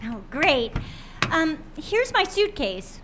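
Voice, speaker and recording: A woman's voice: high voice, big pitch swings, slow pace, music in the background, exaggerated voice. A woman playing a perky, wry young college student in a comic audio drama. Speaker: voice actor. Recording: clearly noisy recording.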